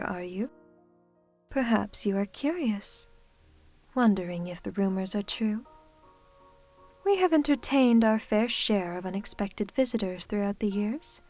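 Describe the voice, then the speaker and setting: A woman playing a gracious, commanding, centuries-old vampire hostess in an ASMR roleplay, speaking formally with gentle teasing. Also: medium pitch. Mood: teasing, welcoming.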